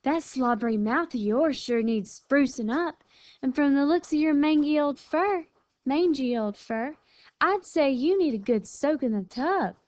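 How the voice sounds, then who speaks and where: A woman's voice: high voice, a little slow, darkish tone, no echo, pitched above the performer's own voice. A woman voicing a vain, fussy female armadillo in a storybook, with prim, emphatic sass. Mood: sassy, persuasive.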